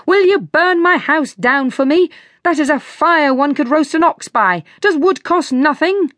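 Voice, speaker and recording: A woman's voice: fairly high pitch, moderate pace, no room sound, clean studio sound, lightly acted. A woman voicing a grumpy, fault-finding old male shoemaker who scolds and blusters. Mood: ranting.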